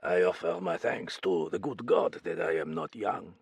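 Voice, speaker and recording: A man's voice: low voice, normal speed, creaky, husky, dry recording, full character voice. A man doing a theatrical older Frenchman. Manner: theatrical, mannered.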